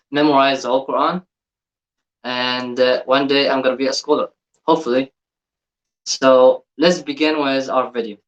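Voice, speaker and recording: Egyptian-accented man, Arabic native, mid-pitched, talks earnestly and haltingly with restarts; casual reaction host. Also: a bit bright, lo-fi sound. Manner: earnest, halting, repeats himself.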